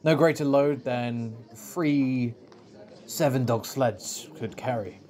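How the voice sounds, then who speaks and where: A man's voice: mid-range voice, slow pace, a little mellow, close to an everyday voice. Man acting affable professor, chatty and instructive. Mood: brisk, instructive. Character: Frank, affable engineering professor, thorough explainer. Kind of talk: audio drama acting.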